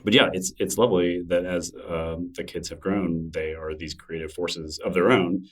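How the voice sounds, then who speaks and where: A man's voice: deep voice, steady tone, normal speed, recorded from a distance. A middle-aged man, a guest in an interview, talking in a thoughtful, rambling way with many fillers and restarts. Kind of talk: casual interview conversation.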